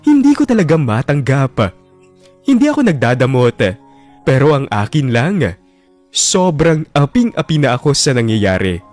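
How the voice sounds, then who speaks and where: A man's voice: on the high side, sing-song, moderate pace. A man narrating a heartbroken first-person love story in a radio drama. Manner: brooding, heartbroken confession. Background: soft music bed.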